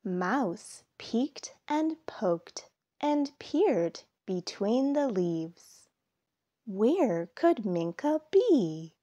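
A woman reading a children's picture book aloud, with deliberate phrasing and expressive emphasis. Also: a little high, muffled recording. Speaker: storybook reader.

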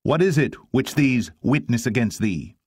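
Guy acting out an outraged high priest from a scripture reading, raised voice, emphatic and accusing, very dry sound. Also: animated, moderate pace, darkish tone, husky, dry recording. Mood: stern, demanding. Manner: solemn, declamatory, emphatic. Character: indignant, accusing high priest, commanding man of authority.

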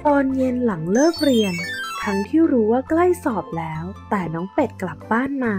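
A woman's voice: a little high, sing-song, fairly quick. A woman narrating a scripted children's story as the storyteller. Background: background music.

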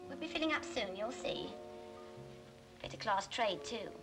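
An actress playing a sharp-tongued, gossipy English barmaid with airs in a 1940s British film. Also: female voice, fairly high pitch, expressive, measured pace, low-quality recording. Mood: proud, defensive. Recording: old 1940s film soundtrack with music.

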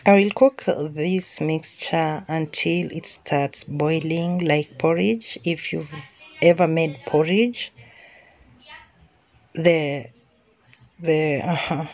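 A woman's voice: low-pitched, slow pace, darkish tone. A woman hosting her home cooking channel, talking casually over the footage with frequent restarts and ums.

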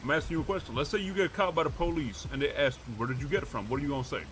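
A man's voice: fairly high pitch, very fast, fairly bright tone, a little hiss or hum, low-quality recording. A man roleplaying a pushy, streetwise, profane character in a game, talking in slang. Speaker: roleplay gamer streaming.

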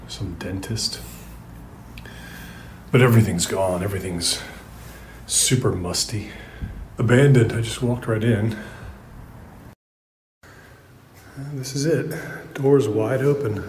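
A man playing an uneasy, reflective narrator in an audio drama, who talks in a halting, rambling, self-correcting way as if recording his thoughts on the move. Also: fairly low pitch, steady tone, darkish tone, a little hiss or hum, natural voice. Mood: subdued, uneasy. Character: uneasy, reflective man revisiting a creepy old apartment building. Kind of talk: naturalistic monologue, recording thoughts while on the move.

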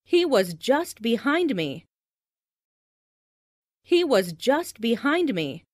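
A woman's voice: on the high side, sing-song, slow, a little mellow, dry recording, studio quality. A woman reading scripted example sentences carefully for language learners, in separated phrases. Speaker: language lesson narrator.

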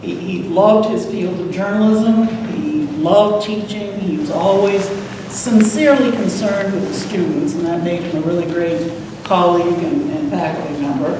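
A woman's voice: on the low side, normal speed. An older woman speaking informally in tribute, as a retired dean, from among an audience. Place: lecture room, standing among audience. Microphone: distant camera microphone. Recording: very echoey room, distant, noisy.

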